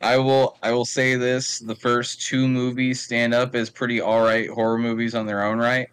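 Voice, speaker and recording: Clear, smooth-voiced man in casual chat. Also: medium pitch, flat delivery, normal speed, a bit bright. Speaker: podcast participant.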